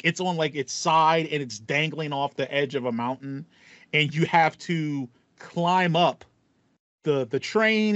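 A man in his thirties hosting a casual gaming podcast, telling stories in an animated manner. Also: on the high side, no echo. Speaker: podcast host. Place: small home room with foam panels. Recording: dull, lo-fi dry audio.

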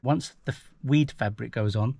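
A man's voice: mid-range voice, moderate pace, gravelly, mellow, breathy edge, no echo. A man, talking casually through a how-to job inside a parked car, chatty and self-deprecating as he thinks aloud. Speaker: vlogger. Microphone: action camera mounted in the car.

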